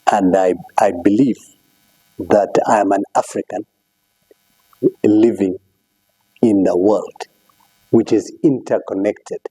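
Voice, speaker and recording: A man from Kenya answering interview questions in slow, measured phrases. His voice sits low, and its dark tone has a husky edge. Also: steady tone, rough recording. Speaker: guest.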